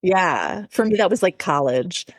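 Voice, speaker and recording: A woman's voice: on the low side, big pitch swings, a little slow, dry recording, clean studio sound. A woman in her forties chatting informally and in a self-deprecating way as a podcast guest. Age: 40s. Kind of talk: casual conversational interview.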